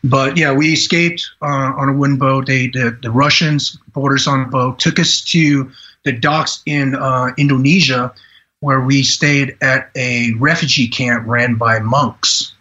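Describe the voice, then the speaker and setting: Podcast guest, male, 40s, from Vietnam. Earnest storyteller who restarts often. Sharp tone, muffled sound. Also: medium pitch, steady tone. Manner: earnest, halting delivery with frequent "you know" restarts. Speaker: podcast guest, retired Green Beret. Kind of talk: personal storytelling in a podcast interview.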